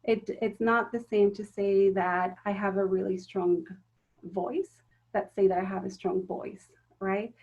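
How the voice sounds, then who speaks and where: A woman's voice: medium pitch, fairly even intonation, measured pace, darkish tone, highs cut off. A woman giving a recorded talk to teachers, explaining step by step with frequent ums and self-corrections. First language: Spanish. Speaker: teacher trainer.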